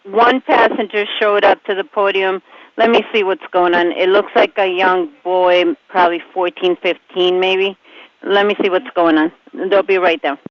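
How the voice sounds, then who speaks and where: An airport ramp controller speaking over the radio to a pilot, calm and businesslike. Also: medium pitch, moderate pace. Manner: calm, businesslike, matter-of-fact. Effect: aviation radio transmission. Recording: muffled radio with clear static.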